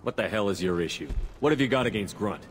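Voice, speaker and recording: A man's voice: on the low side, lively intonation, fast, big-room sound, a little hiss or hum, lightly acted. A man playing a firm, direct human military commander in a video game cutscene. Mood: confrontational. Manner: direct, assertive.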